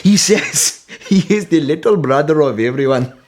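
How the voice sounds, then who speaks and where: Exasperated, amused scholar-doctor for historical radio drama, male actor: high voice, lively swings, commanding. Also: moderate pace, close to an everyday voice. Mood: wryly amused. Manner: wry, commanding, theatrical.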